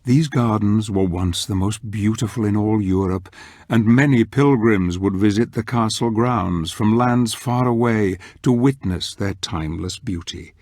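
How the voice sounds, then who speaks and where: Grave, low-voiced gothic narrator. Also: male voice, darkish tone, no echo, studio quality. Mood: grave, mournful. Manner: grave, measured, theatrical storytelling. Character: solemn older male narrator of a gothic fantasy. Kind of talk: scripted dramatic narration.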